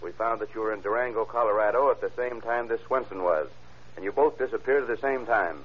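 An actor playing a blunt, sardonic, sceptical police interrogator in an old-time radio crime drama. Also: male voice, lowish voice, quick pace, close to an everyday voice. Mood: stern, pressing. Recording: muffled vintage radio recording.

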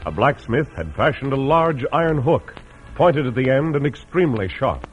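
An American man announcing and narrating an old radio western in a declamatory, dramatic style. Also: medium pitch. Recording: muffled old radio recording.

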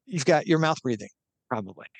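A man's voice: a little high, animated, a little fast, no echo. A man talking as a guest expert on a podcast, explaining earnestly step by step with a few restarts.

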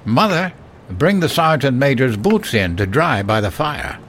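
A man's voice: medium pitch, sing-song, unhurried, fairly bright tone, a little hiss or hum. An actor playing a grumbling but hospitable older English father in an audio drama, speaking emphatically. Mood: hearty, welcoming.

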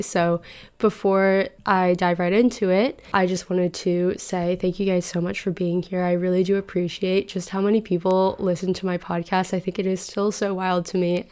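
A woman's voice: fairly low pitch, a little mellow, smooth. A woman in her twenties hosting a solo podcast, talking through life advice in an earnest, chatty and reflective way into a podcast microphone. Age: 20s. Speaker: podcast host.

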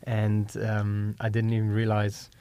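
Flat-voiced German man, halting. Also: lowish voice, smooth, no room sound. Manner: hesitant, many restarts and fillers. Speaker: guest, company co-founder. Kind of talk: casual interview talk.

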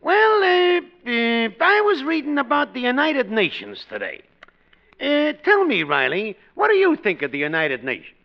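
Slangy American wisecracking neighbor, pushy, hugely swooping delivery, dull vintage radio sound. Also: male voice, very high voice, huge pitch swings, normal speed, creaky, heavily acted. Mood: hammy salesmanship. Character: brash, scheming wisecracking working-class neighbor. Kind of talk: 1940s radio sitcom acting.

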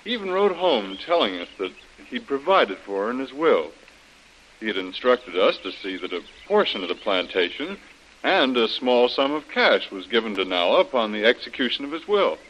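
An earnest plantation heir in a vintage radio drama. His mid-pitched voice rises and falls in a lively way, over faint recording noise. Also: normal speed, rough recording. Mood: earnest, explaining. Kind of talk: 1930s radio acting.

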